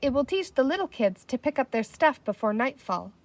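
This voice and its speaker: A woman's voice: sits high, sing-song, fairly quick, heavily acted. A woman voicing a sensible young girl in a storybook, eager and coaxing, firm but kind.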